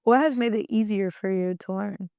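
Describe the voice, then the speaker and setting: A woman's voice: medium pitch, moderate pace, vocal fry, darkish tone, no echo, clean studio sound. A woman hosting a podcast, asking questions in an upbeat, encouraging way. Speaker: podcast host. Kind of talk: interview questions.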